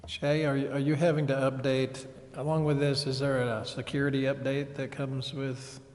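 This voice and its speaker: Flat-toned man in a reverberant hall. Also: medium pitch, normal speed. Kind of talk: questioning in a meeting. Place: council chamber. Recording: reverberant council chamber.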